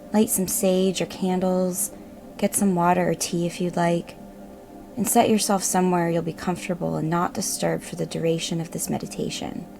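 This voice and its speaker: A woman narrating a guided meditation in a gentle, measured, reassuring manner. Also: lowish voice, creaky. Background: meditative music.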